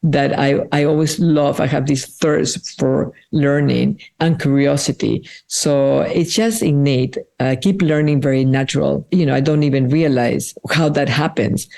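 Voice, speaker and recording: Woman, middle aged, mellow warm voice, slows down to think things over. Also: extremely low pitch, creaky. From Peru. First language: Spanish. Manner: thoughtful, earnest, often slows down to weigh her words. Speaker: artist being interviewed. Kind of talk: reflective interview answers.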